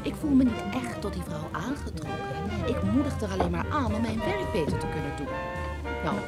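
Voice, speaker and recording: A woman plays a sharp-witted female agent in a radio play, flirtatious and sarcastic by turns. Her voice sits at an average pitch for a woman. Loud music plays behind her throughout. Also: sing-song, a little fast, close to an everyday voice. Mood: pointed, sarcastic. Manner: playfully sarcastic, coquettish. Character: spirited, teasing woman police agent in love. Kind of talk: radio drama acting.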